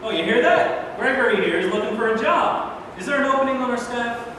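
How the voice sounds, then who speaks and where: A man's voice: very high voice, a little fast, pushed and bright, distant mic. A man playing a genial, commanding president who is out incognito, in a staged reading recorded from afar in an echoey, noisy hall. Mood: genial, courteous.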